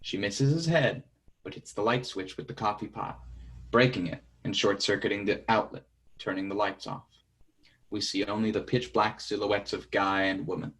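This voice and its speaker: A man's voice: fairly low pitch, normal speed, fairly bright tone. A man reading out stage directions as scripted narration for a play reading.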